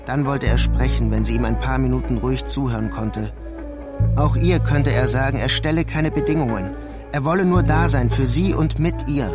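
An actor playing a weary, introspective journalist in a literary radio play, narrating in a measured, subdued way. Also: male voice, mid-range voice, lightly acted. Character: brooding, weary male journalist writing a painful letter. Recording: music behind the voice.

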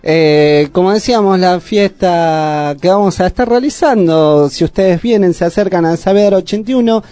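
Chatty Argentine radio host, mellow voice. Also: male voice, high voice, normal speed, no echo, soft background music. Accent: Argentine Spanish. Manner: rambling, chatty. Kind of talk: casual radio chat.

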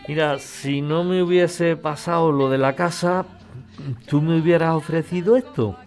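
An actor plays an older man, devoted and old-fashioned. His voice is a touch high. He speaks earnestly and hesitantly, with music running under the drama. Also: steady tone, a little slow, darkish tone. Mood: earnest, tender. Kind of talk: podcast radio drama acting, conversational.

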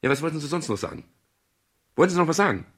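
An actor playing a middle-aged private detective in a radio crime drama, wry, dry and expressive in dialogue and narration. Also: male voice, a little high, rapid-fire, slightly rough, full character voice. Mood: exasperated. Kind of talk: 1970s German radio crime drama acting and first-person narration.

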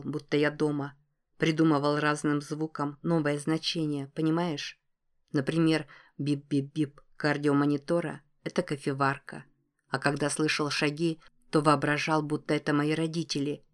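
A woman voicing a quiet, wistful teenage boy in an audiobook, speaking softly and hesitantly as he confides. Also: fairly high pitch, close to an everyday voice. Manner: soft-spoken, hesitant. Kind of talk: audiobook character dialogue.

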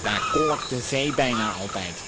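A man playing a gruff, irritable pirate leader in a children's adventure audio drama, blustering as he talks. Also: mid-range voice, wildly swooping pitch, a little slow. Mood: grumbling. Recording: noisy insect ambience behind.

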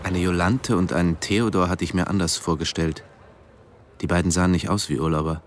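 Male actor doing middle-aged dive instructor guy, low voice, reserved matter-of-fact radio play narration, bit of background noise. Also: steady tone, a little fast, natural voice. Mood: sober, reflective. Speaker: narrator and character. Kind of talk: radio drama narration and dialogue.

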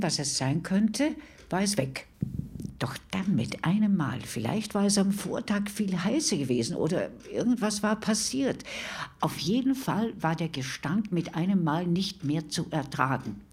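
Female neighbour, very raspy voice, animated intonation, hesitant, digressive, mock radio interview. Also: fairly low pitch, moderate pace. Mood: puzzled recollection. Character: chatty female neighbour recalling a stench, digressive. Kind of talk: mock documentary interview, spontaneous-sounding monologue.

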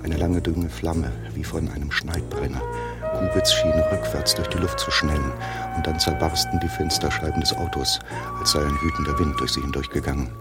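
An actor playing a man recounting a deadly shootout in measured, literary narration for a German radio play. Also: rumbling low, fairly even intonation, over loud music. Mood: grave, measured.

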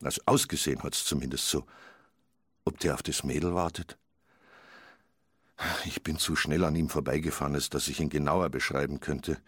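A man's voice: extremely low pitch, no echo, clean studio sound. An actor playing a motorist giving a matter-of-fact witness statement, piecing his memory together in a naturalistic radio play.

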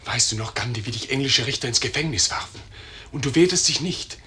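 An actor playing an urgent inner voice in a poetic radio play, declaiming insistently in a rough, chanting way. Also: male voice, medium pitch, a little fast, pushed and bright. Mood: solemn, insistent. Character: urgent inner voice, accusing and exhorting a dying man.

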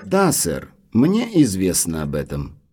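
Deferential manor butler, audio drama: low, expressive, uneasy, speaking with grave foreboding. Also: male voice, slow, breathy edge, exaggerated voice. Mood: guarded, deferential.

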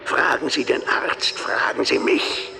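Grandiose carnival barker, super creaky, slow drawn-out showman spiel, music behind. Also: mid-range voice, bright, forceful tone, full character voice. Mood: showy, mocking. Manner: declamatory, swooping, drawn-out showman delivery. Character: grandiose fairground barker showing off a trained beast. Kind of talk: radio drama acting.

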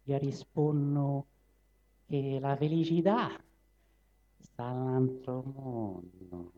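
A grim hyena from a spoken fable mutters low and slow, as a man puts it on with a Roman accent. The voice is gravelly. The live recording is dull. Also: steady tone. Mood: grumbling.

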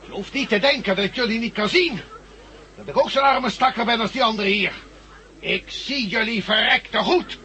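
A man's voice: high voice, moderate pace, bright, forceful tone. A man in a 1960s radio drama playing an angry, defiant agitator who harangues indignantly on behalf of the blind. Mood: angry, challenging. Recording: 1960s radio play recording.

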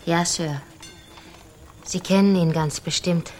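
A woman's voice: fairly low pitch, fairly even intonation, takes it slowly, darkish tone, faint music behind. An actress playing a slight, weary young wanderer in a German radio drama, hesitant, polite and evasive in her short answers. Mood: polite, shy.

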